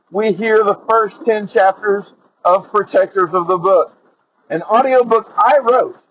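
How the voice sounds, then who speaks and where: A man's voice: high-pitched, fairly bright tone. A man hosting a podcast in a casual, informal, jokey way, often interrupting himself. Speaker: podcast host. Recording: dull lo-fi audio.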